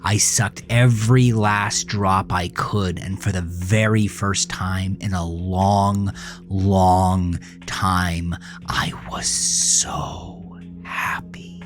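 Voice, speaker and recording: A troubled teenage boy telling his own horror tale in an audio drama. The voice is low and slow, confessional and brooding, often sinking to a hush, with music playing underneath. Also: fairly even intonation. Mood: entranced, hungry. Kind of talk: dramatic first-person horror audio narration.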